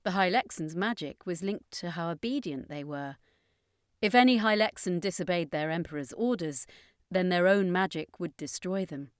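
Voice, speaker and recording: A woman's voice: fairly low pitch, moderate pace, smooth, no room sound, clean studio sound. A woman reading a scripted fantasy adventure story as its narrator.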